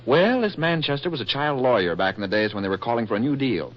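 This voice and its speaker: A man playing a brisk American reporter in a 1940s radio comedy. He rattles along quickly with lively, teasing inflection, and the old recording is muffled. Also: mid-range voice, a little hiss or hum, natural voice. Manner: breezy, dry, teasing.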